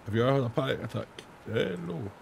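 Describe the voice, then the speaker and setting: Hoarse, raspy man playing games. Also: medium pitch, animated, fast, slight background noise. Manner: reads on-screen questions aloud and answers them, jokey. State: hoarse from days of singing. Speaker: gaming channel host. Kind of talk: casual let's-play commentary. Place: home room, indoors. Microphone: headset.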